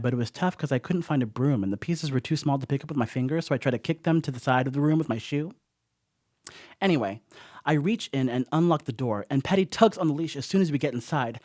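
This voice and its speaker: An actor playing a rattled, wry slacker who rambles through a confession in a horror comedy radio play. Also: male voice, on the high side, no room sound, studio quality, lightly acted.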